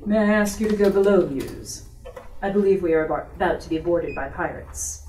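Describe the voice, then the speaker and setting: A woman reading aloud as a grave, restrained Regency gentleman from a storybook, with formal, hesitant phrasing. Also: on the low side, fairly quick, a little hiss or hum, close to an everyday voice. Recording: echoey room, distant microphone.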